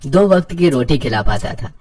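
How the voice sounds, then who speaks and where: A man's voice: medium pitch, expressive, moderate pace, a little mellow. A man narrating a scripted cartoon story as the storyteller.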